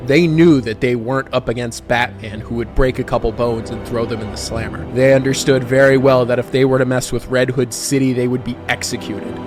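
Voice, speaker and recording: Male story narrator over clear music. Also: medium pitch, fairly even intonation, a little fast, hardly any pauses. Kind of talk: scripted dramatic story narration.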